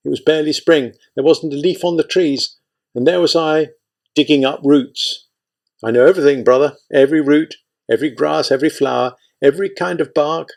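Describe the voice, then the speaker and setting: A man's voice: a little high, fairly quick, creaky. A man voicing a tall, thin old man, a former artillery soldier, talking in a blunt, boastful way.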